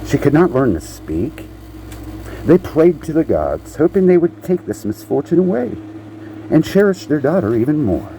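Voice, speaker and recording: A man reading a children's picture book aloud in a halting way, repeating words and slipping in playful asides to the listener. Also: on the high side, very animated, mellow, a little hiss or hum, rough recording. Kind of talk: storybook read-aloud with casual asides.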